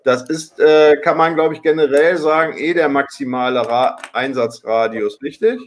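Middle aged guy hosting a live q&a on video call, voice a little high. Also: moderate pace. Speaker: host. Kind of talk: moderating a live Q&A. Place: home study with bookshelves.

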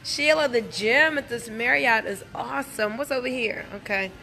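A woman's voice: fairly high pitch, moderate pace, gravelly, sharp tone. A middle-aged woman chatting casually and enthusiastically to live viewers on a handheld phone in an indoor gym. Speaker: livestream host, wellness business promoter. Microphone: handheld phone at arm's length.

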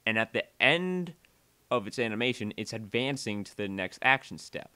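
A man narrating a software tutorial voiceover, halting and often repeating or correcting himself as he explains. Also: on the low side, expressive, clean tone, no room sound.

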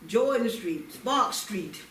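A woman's voice: mid-range voice, animated, slow pace, fairly bright tone. A woman speaking as a council member at a public meeting, insistent and demanding, repeating her points. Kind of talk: formal public meeting discussion. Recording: echoey meeting room, distant mic, faint noise.

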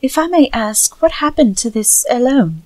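A woman's voice: high voice, animated, moderate pace, mellow, no room sound. A woman playing a polite, composed game character in a fan dub, formal and inquiring. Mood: curious.

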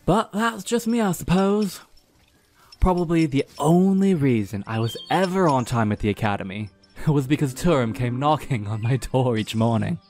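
A man's voice: medium pitch, animated, moderate pace, darkish tone, soft background music, close to an everyday voice. A man playing a cheeky, easygoing young knight lieutenant in a fantasy audio drama, casual and teasing. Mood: casual, wry.

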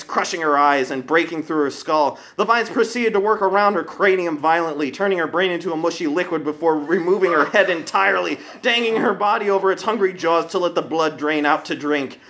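A man narrates a comic story in a high, forceful, bright voice. His delivery is scripted and theatrical, that of a dramatic storyteller. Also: moderate pace, hardly any pauses.

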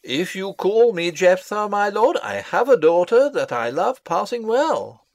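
Old courtier, Shakespearean reading, pompous, fussy, declamatory, hugely animated intonation, dry recording. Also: male voice, high voice, unhurried, dry recording, heavily acted. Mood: puzzled, indulgent. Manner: pompous, declamatory, long-winded. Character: pompous, fussy old male royal counsellor.

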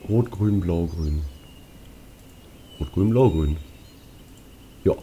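A man's voice: low-pitched, takes it slowly, mellow, a little hiss or hum. A young man giving casual gameplay commentary, slangy and muttering to himself. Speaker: let's player.

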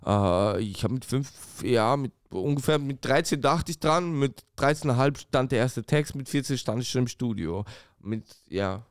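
A thirty-year-old rapper with a smooth, clear voice and a dry, close sound. He talks in a laid-back, slangy way and keeps calling people bro and man. He is recorded on a boom-arm podcast mic. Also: animated, moderate pace, few pauses, no room sound. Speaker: rapper guest. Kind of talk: casual podcast interview. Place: small studio room with posters, seated at table.

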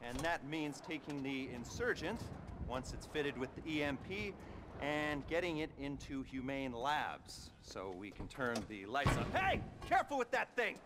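An actor playing a brisk, sardonic male boss briefing a covert crew in a video game cutscene, with a casual, bossy manner. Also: mid-range voice, very animated, background noise. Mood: bossy, urgent. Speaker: mission handler.

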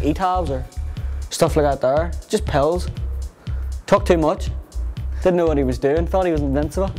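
A man's voice: on the high side, a little mellow. A young man, rambling through a documentary interview with many fillers. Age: young adult.

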